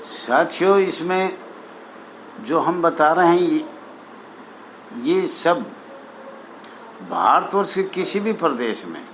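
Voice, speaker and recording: A man addressing association members as their leader, deliberate and repetitive, in formal official phrasing. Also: a little high, expressive, fairly bright tone, slight background noise, poor audio.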